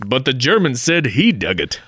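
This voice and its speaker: Male actor as plucky radio-play sidekick, mid-pitched, animated, quippy and defiant. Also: moderate pace, slightly rough, no room sound, studio quality, faint music behind. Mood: quick-witted. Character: plucky, wisecracking adventurer sidekick, defiant captive man. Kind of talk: comic 1940s-style radio play acting.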